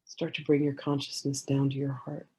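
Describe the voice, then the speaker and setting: A woman leading a guided meditation in a gentle, soothing way, giving slow instructions with many pauses. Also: very deep voice, monotone, breathy edge.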